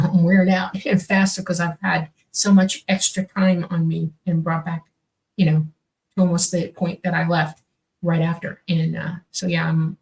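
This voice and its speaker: A woman's voice: fairly low pitch, vocal fry, a little mellow, poor audio. Older woman with a slight Swedish lilt. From born on a military base, raised all over. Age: 60s. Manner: rambling, many fillers and restarts. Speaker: co-host, contactee. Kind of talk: casual podcast chat.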